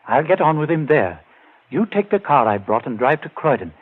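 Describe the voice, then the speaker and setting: A man's voice: a little high, big pitch swings, normal speed. An actor playing a determined man set on vengeance, giving firm, urgent orders in a vintage radio drama. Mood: urgent, commanding. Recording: muffled, phone-like old radio recording.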